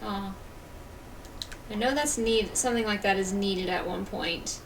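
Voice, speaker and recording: Slow, playful woman livestreaming a game. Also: mid-range voice, noisy background. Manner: playful muttering and sing-song to self. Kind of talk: casual gameplay commentary.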